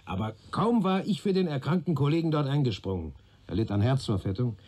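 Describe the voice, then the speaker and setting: A wry older police chief in a radio crime play. His husky, mellow voice swings in lively melody as he rambles, jokes and grumbles to a junior colleague. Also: mid-range voice, brisk. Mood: wry grumbling. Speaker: actor in a radio crime drama. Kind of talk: German radio play dialogue.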